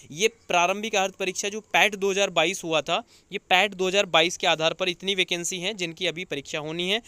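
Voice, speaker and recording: A man's voice: high voice, pushed and bright, clear, resonant tone, dry recording. A man giving an informal news update to students as a coaching teacher, explaining things with frequent check-in tags. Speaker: exam coaching teacher and channel host.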